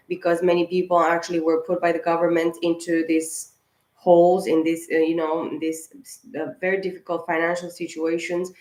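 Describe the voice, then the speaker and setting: A woman giving a rambling spiritual tarot reading to camera, a little hoarse, clearing her throat and circling back through her thoughts. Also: on the low side, a little mellow. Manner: rambling, with many fillers and restarts. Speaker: tarot reader. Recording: echoey room.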